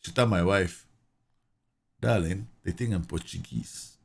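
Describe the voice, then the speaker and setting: Male streamer from Singapore, slightly low-pitched, relaxed running commentary. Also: measured pace, vocal fry, breathy edge. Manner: chatty, laughs often, reads game messages aloud. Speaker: gaming streamer. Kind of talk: casual live game commentary.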